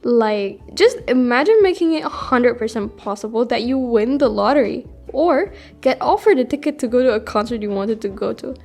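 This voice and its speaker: Woman playing a high-voiced nerdy teenage girl. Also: expressive, normal speed, creaky, hardly any pauses, darkish tone, soft background music. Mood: smug, playful. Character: nerdy, cocky teenage girl chatting with online friend. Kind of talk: audio drama dialogue.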